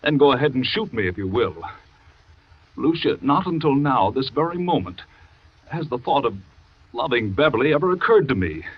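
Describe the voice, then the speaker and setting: An actor playing an earnest, anguished and scornful husband with a husky voice, in 1940s radio melodrama style. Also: male voice, mid-range voice, lively intonation, moderate pace, long pauses. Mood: grave reproach. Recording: muffled vintage radio broadcast recording.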